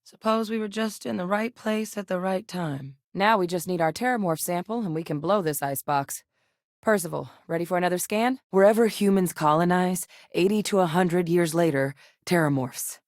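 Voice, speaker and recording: An actress playing a composed, determined female military researcher in a sci-fi video game, speaking in a measured, earnest way. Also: on the low side, a little mellow, no echo, clean studio sound, lightly acted. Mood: serious, reflective.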